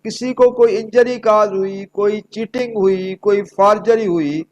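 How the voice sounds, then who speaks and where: Slow, high-pitched Pakistani male law lecturer. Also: steady tone. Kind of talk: lecture, explaining legal provisions.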